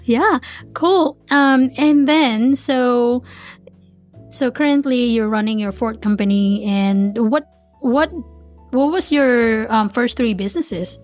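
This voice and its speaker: A woman's voice: on the high side, slow, darkish tone, faint music behind. A woman from the Philippines hosting a podcast interview over a video call, chatty and rambling. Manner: chatty, rambling, with repeated restarts. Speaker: podcast host.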